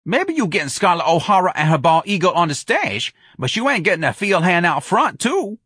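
A man voicing a sly, put-upon young bar porter in an audiobook, grumbling and defiant with drawn-out exclamations. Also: sits high, sing-song, bright, forceful tone, breathy edge, dry recording, studio quality, pitched above the performer's own voice, full character voice. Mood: indignant.

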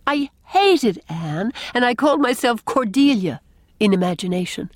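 An eager young wife with a gentle, earnest way of speaking, played by a woman storybook reader. Her intonation moves freely and she sounds warm and impulsive. The recording is close and dry. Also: on the high side, animated, normal speed, dry recording, pitched above the performer's own voice.

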